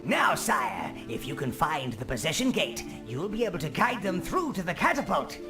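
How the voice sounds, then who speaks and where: Old goblin advisor, wildly animated. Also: male voice, a little high, normal speed. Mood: urgent guidance. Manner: deferential, instructive, addresses his master formally. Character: old goblin minion, devoted advisor to an evil overlord. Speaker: advisor and guide. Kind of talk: theatrical video game character acting. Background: music.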